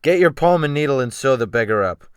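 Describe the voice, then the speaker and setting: A man playing a cold, menacing sea captain in a dramatic audiobook, smooth-spoken but snapping into orders. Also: medium pitch, animated, fast talker, no echo, studio quality, pitched above the performer's own voice, natural voice. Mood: barking command. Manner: smooth, then snapping sharply into loud orders. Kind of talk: dramatic audiobook character reading.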